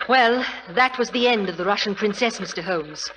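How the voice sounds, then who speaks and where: A woman's voice: medium pitch, normal speed, a bit bright, lightly acted. An actress playing a frank, worldly, middle-aged upper-class lady in an old radio drama, arch and chatty as she tells her tales. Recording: noisy vintage radio recording.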